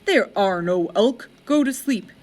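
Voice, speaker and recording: A woman's voice: mid-range voice, animated, unhurried, a little mellow, soft background music, lightly acted. A woman voicing a weary, dismissive prehistoric father with an exasperated, sighing manner in a read-aloud storybook.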